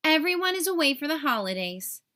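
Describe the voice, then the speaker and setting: A woman's voice: high-pitched, big pitch swings, brisk, pushed and bright, pitched above the performer's own voice, full character voice. A woman voicing a scheming, greedy man who burgles houses in a children's storybook. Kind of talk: children's storybook read-aloud.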